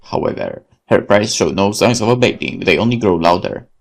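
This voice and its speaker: Polish-native man, let's play host, reads a horror story game aloud, gravelly, lively intonation, corrects himself. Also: lowish voice, normal speed. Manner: lively reading with self-corrections and asides. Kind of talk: reading a horror visual novel aloud.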